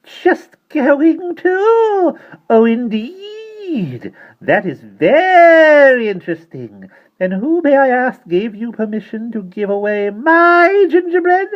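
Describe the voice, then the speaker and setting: Shrill, very high little old shopkeeper woman, bossy and cackling, swinging between sweet and fierce; dull lo-fi sound. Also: normal speed. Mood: fierce, mocking. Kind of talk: storybook reading.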